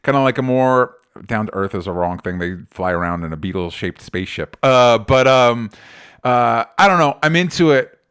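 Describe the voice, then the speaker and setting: A man chats as the host of a casual pop culture podcast. He speaks in an ordinary mid-range voice, often halting and doubling back on himself. The wording is loose and informal. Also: mid-range voice, lively intonation, fairly bright tone, clear, resonant tone. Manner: rambling, halting, self-interrupting.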